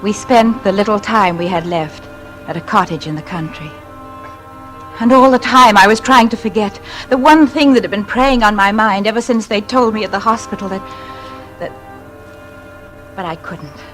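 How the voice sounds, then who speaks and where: Woman acting troubled english wife in an old radio drama, earnest and halting, music on the recording. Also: mid-range voice, a bit bright, close to an everyday voice, low-quality recording. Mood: wistful, troubled. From England. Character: anguished, refined English wife confiding her troubles. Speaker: lead actress.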